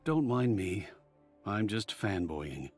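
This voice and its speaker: A man's voice: on the low side, sing-song, slow, slightly rough, soft background music, exaggerated voice. An actor voicing an earnest, admiring but cautious man in a video game, talking like an articulate storyteller. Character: earnest, admiring, cautious male fan of war heroes.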